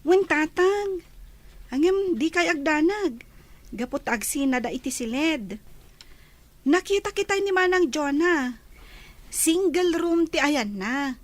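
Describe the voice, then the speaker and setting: An actress with an Ilocano accent playing a reassuring young daughter in a radio drama. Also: female voice, high-pitched, expressive, slow pace, pauses often, no echo. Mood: earnest, reassuring. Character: reassuring young daughter talking to her father.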